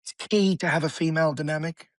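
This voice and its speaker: A man, a real estate agent talking as an interview guest in an energetic, blunt, casual way. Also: on the high side, quick pace, dry recording, clean studio sound. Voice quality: raspy.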